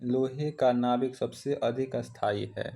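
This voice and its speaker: A man's voice: mid-range voice, steady tone, measured pace, creaky, warm tone. An Indian man teaching exam practice, reading out questions and following each with a short answer.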